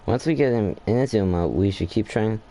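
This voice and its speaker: A young man, giving casual, halting commentary while playing a game. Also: lowish voice, mellow, poor audio. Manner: halting, rambling, thinking aloud. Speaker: gaming video host. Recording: faint noise, game sound behind.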